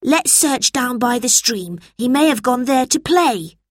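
A woman's voice: fairly high pitch, expressive, a little slow, dry recording, studio quality, pitched above the performer's own voice. A woman voicing a practical, helpful young schoolboy in a children's story, speaking plainly.

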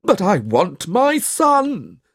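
A narrator voicing a scheming man in a storybook who poses as a doting father, wheedling in drawn-out words. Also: medium pitch, huge pitch swings, slightly rough, no room sound, clean studio sound, voice pushed up, heavily acted. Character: scheming man posing as a boy's long-lost father.

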